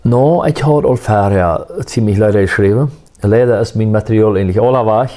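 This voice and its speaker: A man, a musician, telling his life story in a soft-spoken, reflective and faith-centered way. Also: lowish voice, a little fast. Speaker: musician guest.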